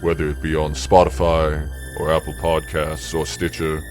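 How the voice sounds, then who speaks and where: A man hosting a late-night radio show in a measured, formal scripted delivery. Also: extremely low pitch, monotone, darkish tone. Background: music.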